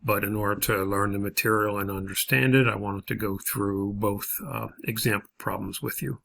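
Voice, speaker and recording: A man instructing in a video lesson, explaining a worked problem step by step in a halting way. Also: on the low side, fairly even intonation, creaky, a bit bright. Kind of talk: video lesson walking through example problems.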